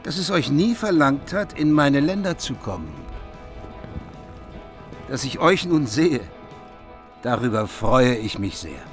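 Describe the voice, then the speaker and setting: A dignified, courteous king in a radio epic. His mid-pitched voice rises and falls freely over a bed of music. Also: very animated, slow pace, pauses often. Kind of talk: radio play acting of medieval epic.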